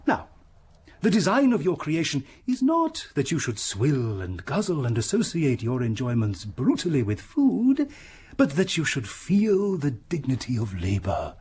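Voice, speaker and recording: A self-satisfied, wealthy English gentleman in period radio drama. The voice is badly rasped and husky, set fairly high, with lively swings of pitch. He pontificates grandly, then dithers and blusters. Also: a little slow, exaggerated voice. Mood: condescending. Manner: pompous, blustering, hesitant, grandly sermonizing.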